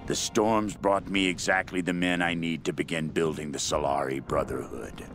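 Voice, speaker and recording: A man's voice: low voice, steady tone, a bit bright, soft background music. An actor playing a fanatical, mad cult leader obsessed with a queen, delivering a brooding, menacing diary monologue for a video game. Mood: fervent, triumphant. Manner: slow, brooding, menacing, intense.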